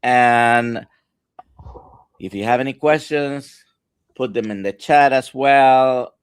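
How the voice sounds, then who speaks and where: A man's voice: medium pitch, takes it slowly, smooth, no room sound. A man co-hosting a casual livestream as a doctor, greeting viewers and prompting the guest. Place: remote online livestream from Central Florida.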